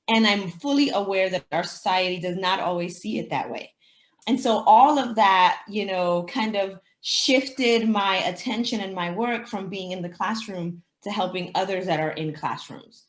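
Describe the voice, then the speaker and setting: A woman from the Dominican Republic, a native Spanish speaker, explains things conversationally as an educator being interviewed, thinking aloud and posing rhetorical questions. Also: mid-range voice. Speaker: teacher and anti-racism educator, interviewee. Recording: roomy, echoing sound.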